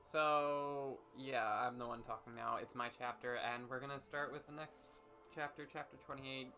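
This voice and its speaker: A man's voice: medium pitch, steady tone, moderate pace, bright, forceful tone, faint music behind. A man in casual, jokey banter, reading aloud and playfully riffing on odd misspelled words. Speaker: co-reader and commentator on a comedy reading stream.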